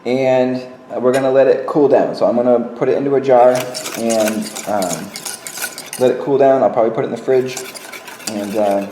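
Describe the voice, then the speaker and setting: A man's voice: medium pitch, steady tone, moderate pace, noisy background. A young man, a librarian, casually walking through a recipe step by step in a kitchen, with lots of fillers. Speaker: teen librarian. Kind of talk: cooking demonstration. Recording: echoey kitchen, far mic, clattering dishes.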